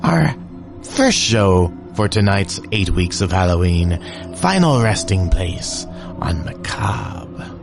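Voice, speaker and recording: A man's voice: low voice, big pitch swings, slow, creaky, over music. A man hosting a podcast who introduces old-time radio episodes in a playful, upbeat manner. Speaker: podcast host. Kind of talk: casual show introduction.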